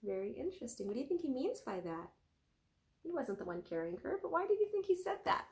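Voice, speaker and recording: A young woman reading a children's storybook aloud in an expressive, teacherly way with careful pauses. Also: high-pitched. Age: young adult.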